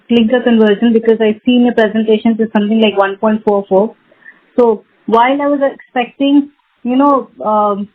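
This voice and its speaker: Casting: Indian female analyst, fairly high-pitched, politely putting detailed questions over a narrow phone line. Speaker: financial analyst asking questions. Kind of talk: formal question on an earnings call. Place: phone call.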